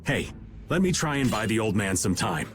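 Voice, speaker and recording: A man's voice: on the low side, big pitch swings, moderate pace, over music. A man voicing a breezy, roguish middle-aged slacker in a video game, playful and casual in delivery. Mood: insistent. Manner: laid-back, playful, casual. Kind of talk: video game dub acting.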